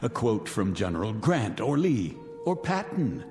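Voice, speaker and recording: Vindictive sophisticate, mocking, grandiloquent, widely swooping intonation, reverberant vintage TV drama with music behind. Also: male voice, on the low side, very animated, measured pace, a little mellow. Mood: scornful, mocking. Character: vindictive, sardonic rich man taunting his guests. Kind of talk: 1960s television drama acting.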